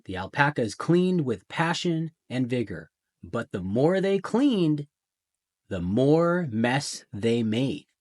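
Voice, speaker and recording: A man reading a children's picture book aloud in a theatrical way, with deliberate pauses and emphasis. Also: mid-range voice, very animated. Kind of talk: dramatic children's storybook narration.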